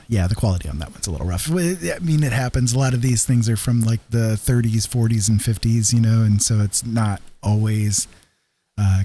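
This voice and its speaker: A man with a low, soft-edged, relaxed voice hosts a casual livestream. He talks in a halting, low-key way, rambling along without any push or projection. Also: slight background noise. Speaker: livestream host.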